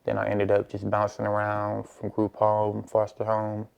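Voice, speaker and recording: A twenty-year-old man speaks in a low, flat voice with plenty of fry and a smooth, clear tone. His delivery is casual, like someone telling his own story in an interview. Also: unhurried. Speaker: youth who aged out of foster care.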